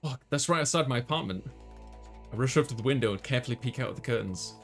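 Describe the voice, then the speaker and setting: A man with a low-key musical backing plays host on a gaming video. He reads visual novel narration aloud and slips into casual commentary of his own. His pitch sits in the middle range for a man. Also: animated, rapid-fire, vocal fry, fairly bright tone, faint music behind. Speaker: let's player.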